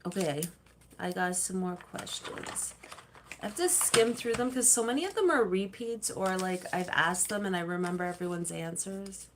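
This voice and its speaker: A woman's voice: lowish voice, moderate pace, background noise. A woman hosting a casual livestream, chatting with viewers and reading their comments, rambling and laughing easily. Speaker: livestream host.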